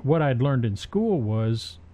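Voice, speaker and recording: A man presenting an audio tutorial in an informal, rambling way, with halting pauses and personal anecdotes, on a slightly dull recording. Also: medium pitch, very animated, mellow.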